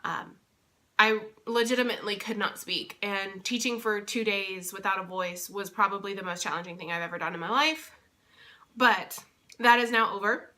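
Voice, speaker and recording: Congested young woman rambling thru a vlog update, kinda bright voice, lots of ums. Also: fairly high pitch. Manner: chatty, rambling with many fillers. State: congested, recovering from lost voice. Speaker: vlogger and convention interpreter.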